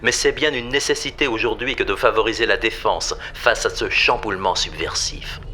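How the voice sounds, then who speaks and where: A man acts out a venomous tyrant addressing his nation in an audio drama. The voice is low and cuttingly bright. The delivery is a formal political speech, grandiloquent and full of solemn menace. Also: moderate pace, a little hiss or hum. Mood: solemn, menacing.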